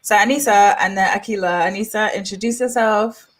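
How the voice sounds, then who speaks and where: A chatty woman hosts a podcast, upbeat and quick to laugh. She grew up in Trinidad. Her pitch sits in the middle of the range for women. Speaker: podcast host. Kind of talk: casual podcast chat.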